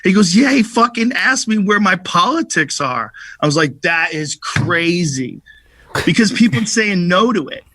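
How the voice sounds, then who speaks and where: Bright, animated profane comedian guest. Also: male voice, sits high, measured pace, no echo. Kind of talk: casual podcast chat.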